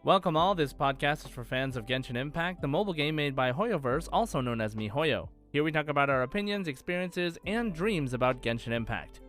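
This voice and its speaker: Mid-pitched man hosting a casual podcast. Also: lively intonation, brisk, few pauses, fairly bright tone, soft background music. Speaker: podcast co-host. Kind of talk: casual gaming podcast chat.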